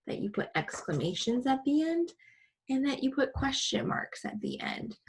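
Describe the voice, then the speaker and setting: A woman teaching a children's English lesson, explaining in simple, deliberate phrases and posing questions to her pupils. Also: on the high side, gravelly, background noise.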